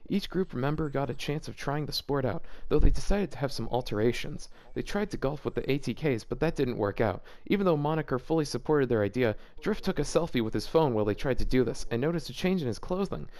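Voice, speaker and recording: A man's voice: medium pitch, lively intonation, fast talker, few pauses, a little mellow. A man hosting a casual read-aloud of fan fiction, breaking it up with incredulous, joking commentary. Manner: incredulous asides breaking up the reading.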